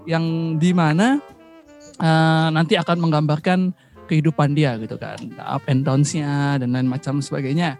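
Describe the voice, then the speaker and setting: Indonesian guy hosting a podcast, pretty high voice, cant roll his r, jokey and showy, music under it. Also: steady tone, normal speed. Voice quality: rhotacism, soft R. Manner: playfully boastful, joking, code-switches into English. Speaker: podcast host. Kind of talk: casual bantering podcast hosting.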